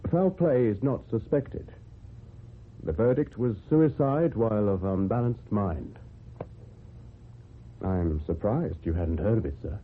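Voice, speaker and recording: An actor playing a polite, matter-of-fact police constable in a radio drama, formal and stiffly official. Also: male voice, lowish voice, animated, slow, pauses often, a little hiss or hum. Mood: official, measured. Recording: muffled, tinny old radio recording.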